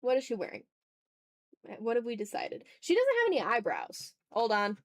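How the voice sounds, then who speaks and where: A woman's voice: fairly high pitch, wildly swooping pitch, normal speed, dry recording, studio quality. A woman chatting casually as she draws on a livestream, teasing her viewers with playful mock exasperation. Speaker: art streamer. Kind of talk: casual livestream chat while drawing.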